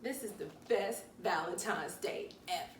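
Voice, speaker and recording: A woman's voice: fairly low pitch, normal speed, lightly acted. A woman voicing a cheerful, encouraging young female cat, emphatic and reassuring, in a children's storybook read-aloud. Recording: roomy, distant mic, faint noise.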